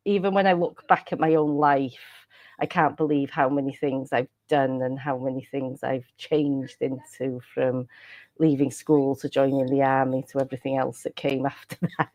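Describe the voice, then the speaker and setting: Welsh woman, native Welsh speaker, low voice, dry close sound, giggly casual guest. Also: darkish tone, no echo. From Wales. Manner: giggly, rambling with frequent laughs and restarts. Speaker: podcast guest. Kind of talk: casual interview chat.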